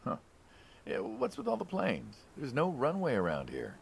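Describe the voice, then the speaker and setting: A man's voice: mid-range voice, wildly swooping pitch, normal speed, creaky, a little hiss or hum, heavily acted. A man playing a friendly, inquisitive, wryly skeptical middle-aged American mobster in naturalistic video game dialogue. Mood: curious, teasing.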